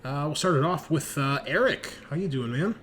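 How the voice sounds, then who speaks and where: A man's voice: on the high side, lively intonation, moderate pace, a bit bright. A man chatting informally and chummily as a podcast host and game master. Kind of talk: casual podcast chat. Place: room at a new home. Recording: clearly noisy home recording.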